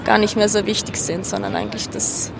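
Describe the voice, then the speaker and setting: Clear outdoor city noise surrounds a woman with a medium-pitched voice. She speaks reflectively and haltingly, working out her interview answers as she goes. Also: steady tone. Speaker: interviewee stopped on the street. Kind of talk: spontaneous casual interview answers. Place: outdoors on a street. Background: urban outdoor ambience.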